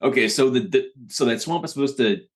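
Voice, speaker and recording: A man's voice: medium pitch, fairly quick. A man talking casually as a podcast guest, informal and inquisitive. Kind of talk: casual chat.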